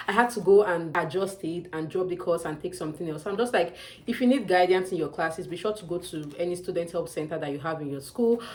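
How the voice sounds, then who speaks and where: A young woman with a Nigerian accent delivers chatty advice straight to camera, with an emphatic streak and frequent calls to her viewers. Her voice sits on the low side and she talks at a brisk clip. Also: a little mellow. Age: young adult. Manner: chatty, emphatic, often calls out "you guys". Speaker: student vlogger. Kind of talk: casual advice vlog to camera.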